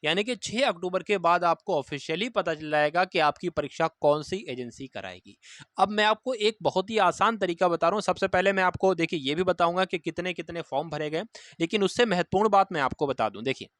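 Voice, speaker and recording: A man's voice: high voice, expressive, a little fast, fairly bright tone, no echo. A man giving an informal, instructive exam update to students, repeating key points for emphasis. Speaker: exam coaching presenter.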